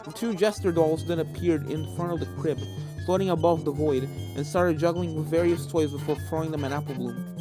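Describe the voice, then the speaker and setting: A woman with a somewhat low voice narrates a scripted fan fiction story. Video game music plays clearly behind her reading. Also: steady tone, brisk, poor audio.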